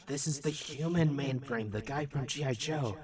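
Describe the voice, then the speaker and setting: A man's voice: mid-range voice, normal speed, natural voice. A man playing a lonely, earnest robot who runs a space station in a fan comic dub. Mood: worried.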